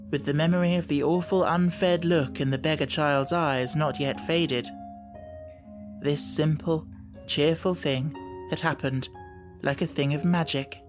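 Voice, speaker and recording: A woman reading a children's novel as scripted audiobook narration. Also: deep voice.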